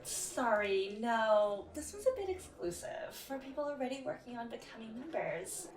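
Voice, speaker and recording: A woman's voice: on the high side, big pitch swings, normal speed, distant mic. A woman playing an effusive, upbeat workshop leader in an audio drama, welcoming people with gushing friendliness. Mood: breezy, upbeat. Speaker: workshop facilitator. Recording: roomy, echoey, away from mic.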